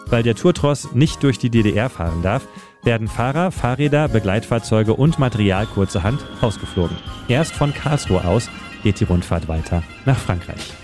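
A male radio narrator with a fairly low voice, delivering a scripted historical feature. Music plays clearly behind him as he tells the story. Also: expressive, normal speed. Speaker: radio editor and city guide narrating a feature. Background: music bed under the voice.

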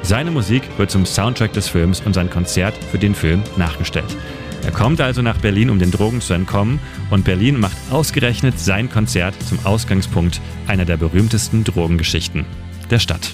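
Lowish-voiced man narrating over guitar music. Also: moderate pace. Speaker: radio editor and city guide presenting a history segment. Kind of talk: scripted radio storytelling. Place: studio. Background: music bed with guitar.

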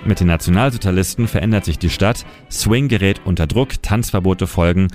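A man's voice: low-pitched, fairly quick, darkish tone, soft background music. A man presenting as a city guide, narrating a scripted historical story for radio.

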